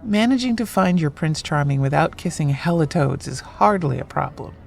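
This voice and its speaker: A woman playing a wry, blunt writer in her late thirties with a gravelly voice in an audio drama. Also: low voice, animated, moderate pace, a little mellow. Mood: exasperated, blunt. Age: late 30s. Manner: dry, blunt, quippy.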